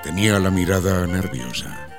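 A man's voice: low voice, animated, moderate pace. A man narrating a radio serial as a scripted, dramatic storyteller. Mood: storytelling. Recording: music under the narration.

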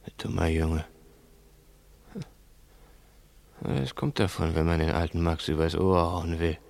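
Casting: a brooding, fearful ageing criminal, low register, muttered monologues, 1960s German radio play. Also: male voice, little pitch movement, normal speed, creaky, a little mellow, dry recording. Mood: bitter, mocking. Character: bitter, fearful ageing male crook, muttering to himself.

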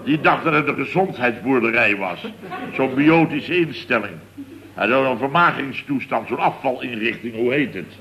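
An actor playing a flustered, indignant middle-aged building boss, telling things in a long-winded, exasperated way in a comic radio serial. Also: male voice, mid-range voice, expressive, normal speed, lo-fi sound, crowd noise, natural voice. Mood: exasperated storytelling. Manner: long-winded, exasperated storytelling peppered with verbal tags. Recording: old radio recording with faint noise.

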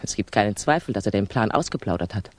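An actress playing a composed, candid older female revolutionary in a 1960s German radio drama, measured, admonishing and probing. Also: very deep voice, lively intonation, no echo. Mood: earnest, certain.

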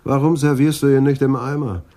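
A man's voice: mid-range voice, fairly even intonation, brisk, dark tone, natural voice. A male actor playing a dry, sardonic veteran police adjutant in a German radio crime drama. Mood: wry. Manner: wry, ironic, laid-back.

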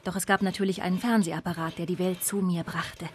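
A woman's voice: lowish voice, level tone, quick pace, close to an everyday voice. An actress playing a disillusioned woman of twenty-nine, narrating her life soberly in a radio drama. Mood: bitter, reflective. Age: young adult. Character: disillusioned 29-year-old daughter of a prostitute, coolly confessional.

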